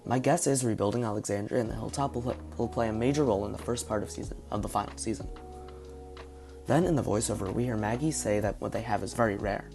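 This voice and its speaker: Hoarse male reviewer, quick delivery, thinking aloud through his guesses, faint music behind. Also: on the low side, darkish tone. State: hoarse, partly lost voice. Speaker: video reviewer. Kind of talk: casual video commentary.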